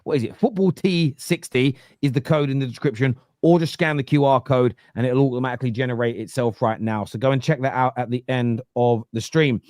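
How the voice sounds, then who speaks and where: Jokey host, loose and hesitant. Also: male voice, medium pitch, fairly quick, hardly any pauses, a little mellow, dry recording, studio quality. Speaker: podcast host. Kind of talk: casual football chat and ad read.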